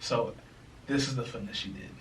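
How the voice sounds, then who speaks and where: A young man co-hosting a podcast, telling a story in a casual, animated way, laughing and acting out other people's lines. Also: medium pitch, normal speed, a little hiss or hum. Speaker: podcast co-host. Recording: echoey room, mic a bit far.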